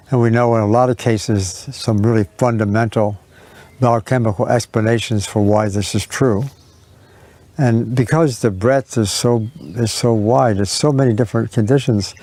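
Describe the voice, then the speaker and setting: A man explaining in a measured way as a science expert in an interview. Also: on the low side, warm tone.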